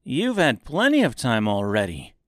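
A man voicing an aggrieved metal man in a storybook, forceful and demanding. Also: on the high side, very animated, normal speed, no room sound, clean studio sound, pitched above the performer's own voice. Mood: angry. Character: Tin Woodman, emphatic, aggrieved metal man.

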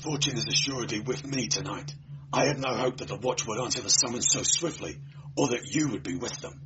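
A man's voice: medium pitch, a little fast, close to an everyday voice. A man voicing an anxious, dutiful night watchman who explains earnestly in an audiobook story. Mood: relieved. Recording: dull, noisy amateur recording.

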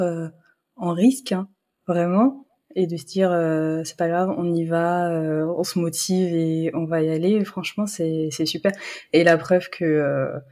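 A woman hosting a podcast interview, warmly encouraging her guest with praise. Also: on the low side. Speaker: podcast host. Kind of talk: casual interview talk.

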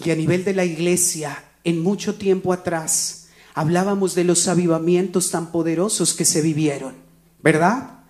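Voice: medium pitch, fairly even intonation, moderate pace, a little mellow. A preacher with a Colombian accent gives a rambling, conversational sermon and keeps checking in with the listeners.

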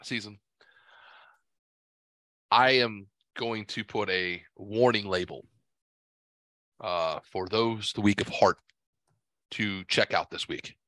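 A sick man with a blocked nose hosts a casual podcast, cursing freely and wandering from point to point. His voice is bright and pushed forward. The recording is dry and close, with no room sound. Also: on the low side, slow pace, pauses often, dry recording, low-quality recording. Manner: crude, profane, rambling. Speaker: podcast host.

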